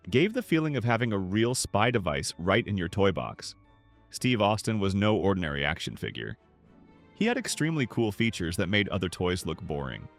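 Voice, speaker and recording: A man reading scripted nostalgic documentary narration. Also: fairly low pitch.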